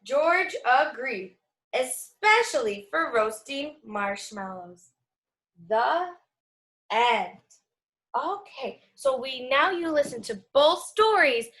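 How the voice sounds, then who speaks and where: A woman reading a children's picture book aloud as a storyteller, expressive and teacherly, with asides to young listeners. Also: sits high, takes it slowly. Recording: echoey room.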